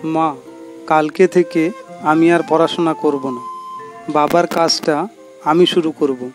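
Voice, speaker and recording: A dutiful village boy in a cartoon , voiced by a man. He speaks earnestly and with concern in a fairly high voice, with faint music behind him. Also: fairly even intonation, slow pace. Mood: resolute. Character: dutiful, earnest village boy supporting his poor family.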